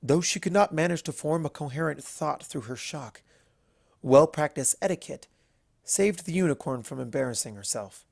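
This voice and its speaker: A story narrator with a very animated delivery, reading scripted prose. The pitch sits in a middle register between male and female, and the sound is dry and close. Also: normal speed, gravelly, no echo.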